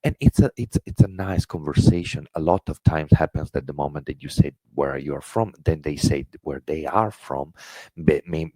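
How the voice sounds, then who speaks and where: A man's voice: very deep voice, mellow, no echo, rough recording. A middle-aged man from Italy with an Italian accent, giving an earnest webinar lecture with many fillers and restarts. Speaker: intercultural education presenter.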